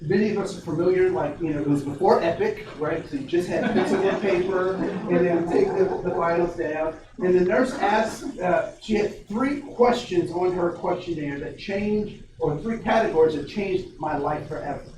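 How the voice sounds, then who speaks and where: Energetic male trainer in his 40s, high voice, distant and reverberant room sound. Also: moderate pace, few pauses. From Ohio, USA. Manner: energetic, questions the audience, frequent restarts. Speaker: diversity trainer. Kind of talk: interactive workshop talk. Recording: very echoey, distant, faint noise.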